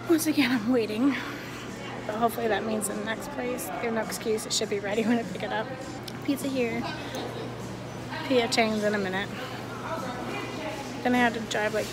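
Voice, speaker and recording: A woman's voice: a little high, fairly even intonation, fairly quick, long pauses, very noisy. A woman in her thirties chatting to viewers about her delivery shifts, filmed on a phone held at arm's length in her car and then inside a restaurant. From USA. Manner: chatty, talks to viewers while driving and walking. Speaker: food delivery driver vlogger. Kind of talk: casual vlog chat.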